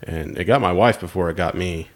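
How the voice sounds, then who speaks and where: A man's voice: low voice, a little fast. A man, sounding nasal from allergies, chatting and asking questions as a podcast co-host. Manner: chatty, inquisitive. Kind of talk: casual podcast chat.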